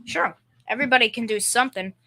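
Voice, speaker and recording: A woman playing a good-natured, plain-spoken middle-aged father in an amateur old-time radio play, with a halting, folksy delivery. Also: fairly high pitch, gravelly, pushed and bright. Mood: earnest. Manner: folksy, halting, repeats words. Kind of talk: old-time radio comedy-drama acting, amateur school performance.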